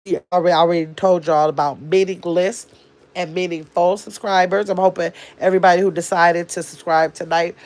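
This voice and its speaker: A woman with a nasal, somewhat low voice hosts a casual livestream. She chats loosely with her viewers, reading out their comments and teasing trolls as she goes. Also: steady tone, fairly quick, darkish tone. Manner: chatty, teasing, scolds trolls. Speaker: livestream host.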